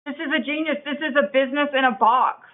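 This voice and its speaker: A woman's voice: sits high, quick pace. A woman co-hosting a podcast, asking questions in an enthusiastic, chatty way. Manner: enthusiastic, chatty, repeats herself. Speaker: podcast co-host. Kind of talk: interview questions. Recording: tinny phone-like line.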